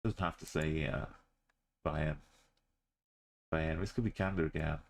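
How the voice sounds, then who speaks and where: A deep-voiced man talks slowly through his game. He keeps to short, clipped phrases, mostly talking to himself. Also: steady tone, gravelly. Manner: muttering, terse thinking aloud. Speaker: streamer. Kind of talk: commentary while playing a game.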